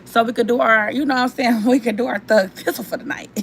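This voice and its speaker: A young woman vlogger whose voice is hoarse and nearly gone, pitched a little high for a woman. She chats casually and rambles, talking straight to her viewers. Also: fairly even intonation, fairly quick, slight background noise. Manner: chatty and rambling, addresses viewers directly.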